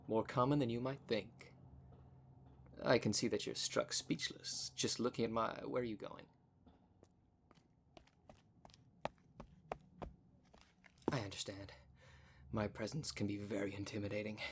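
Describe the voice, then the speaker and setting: A man's voice: on the low side, slight background noise, close to an everyday voice. A man voicing a vain, flirtatious, snobbish werecat socialite in a scripted roleplay spoken to the listener, with a pompous, haughty manner. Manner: pompous, haughty, smooth-talking. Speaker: voice actor in audio roleplay.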